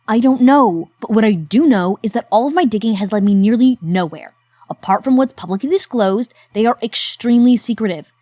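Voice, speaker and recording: A woman's voice: a little high, lively intonation, brisk, warm tone, dry recording, studio quality. A woman in an audio drama, questioning her partner's conclusions with skepticism. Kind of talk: scripted audio drama dialogue.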